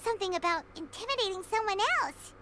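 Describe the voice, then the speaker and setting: A woman's voice: very high-pitched, fast talker, sharp tone. A woman voicing a small, perky, feisty childlike fairy companion in a video game, with noise and clicks behind her. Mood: earnest. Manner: speaks of herself in third person, feisty.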